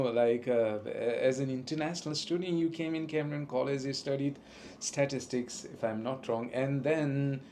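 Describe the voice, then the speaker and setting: A man's voice: medium pitch, a little mellow. A man from Nepal hosts a casual podcast interview, his voice sitting in a middle register. He asks questions eagerly and keeps affirming what his guest says. A faint hiss runs under the recording. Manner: eager, frequent backchannel affirmations. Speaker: podcast host.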